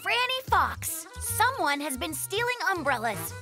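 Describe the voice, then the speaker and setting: A sensible little boy bear in a kids' cartoon, with a very high, childlike voice. He sounds earnest and good-natured, lilting up and down over background music. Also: big pitch swings, a little slow, exaggerated voice. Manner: earnest, questioning, mildly puzzled. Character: sensible, good-natured young male brown bear cub.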